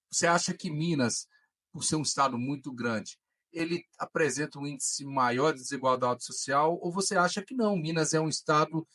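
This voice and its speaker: Male podcast interviewer, Brazilian accent, somewhat high voice. Conversational, halting delivery while phrasing questions. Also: fairly bright tone. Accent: Brazilian Portuguese.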